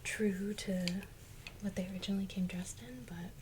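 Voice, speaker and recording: Loud background noise and clicks surround a woman's soft, breathy voice. She speaks ASMR style, often dropping close to a whisper, in a casual and gentle way, as if musing while she handles toys. Her pitch is average for a woman. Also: fairly even intonation, moderate pace. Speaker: ASMR video host. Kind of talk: soft-spoken ASMR toy unboxing.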